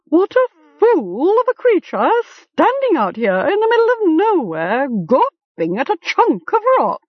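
Woman voicing a mocking, screeching raven, very high, storybook creature, dull lo-fi sound. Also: huge pitch swings, measured pace, no echo, full character voice. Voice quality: screechy, cawing. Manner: haughty, mocking. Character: cantankerous screeching male raven.

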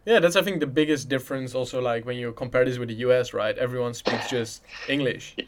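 A man's voice: mid-range voice, a little fast, slight background noise. A man from the Netherlands, a native Dutch speaker, talking casually in English and rambling with frequent restarts. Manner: rambling, many restarts and "in a sense". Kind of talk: casual conversation.

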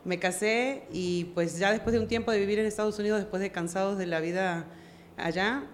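A woman's voice: mid-range voice, fairly even intonation, fairly quick, slight background noise. A woman, a podcast guest telling her story, hesitant and searching for words. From Argentina. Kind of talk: casual interview chat.

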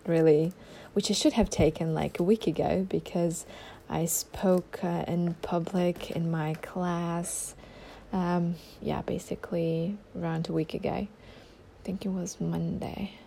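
A woman's voice: lowish voice, fairly even intonation, takes it slowly, gravelly, warm tone, a little hiss or hum. A young adult woman hosting a casual solo podcast, talking in a rambling way with many ums and restarts. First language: not German. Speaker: podcast host.

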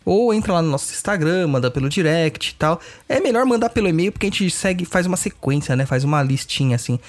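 A man's voice: fairly high pitch, animated, fast. Nasal congested Brazilian man. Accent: Brazilian Portuguese. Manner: chatty and informal. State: stuffy nose, nasal from a cold. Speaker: podcast host. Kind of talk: casual podcast update.

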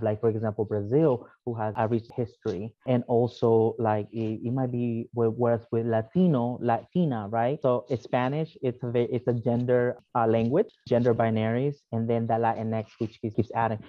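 A man's voice: mid-range voice, dry recording. A man from El Salvador, a podcast guest, explaining his views casually with many fillers like "you know". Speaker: podcast guest, alumni network vice president. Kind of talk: casual interview answers. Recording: dull, dry lo-fi sound.

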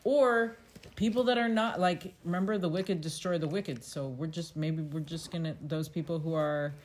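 A woman's voice: deep voice, moderate pace, a little mellow. A woman casually discussing scripture, conversational and checking in with questions as she explains. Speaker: family scripture discussion co-host.

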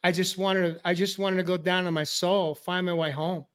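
A high-pitched man from the New York area hosts a men's talk show. He tells his story in a preacherly way and hammers key phrases through repetition. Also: level tone, moderate pace, a bit bright. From New York area, USA. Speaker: host. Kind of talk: heartfelt personal sharing on a men's talk show.

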